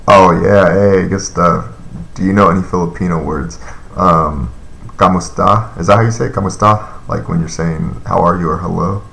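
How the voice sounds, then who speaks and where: A man's voice: deep voice, steady tone, moderate pace, creaky, fairly bright tone, roomy sound, a little hiss or hum. A man in his thirties chatting casually on a live stream, reading and answering viewer comments in a loose, rambling way with lots of fillers. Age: 30s.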